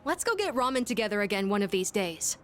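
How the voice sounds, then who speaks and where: A woman playing an earnest, gentle young schoolboy in a video game, speaking politely and thoughtfully with some hesitation. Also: mid-range voice, sing-song, fast, slight background noise, close to an everyday voice. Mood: earnest, warm. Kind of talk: English video game voice acting.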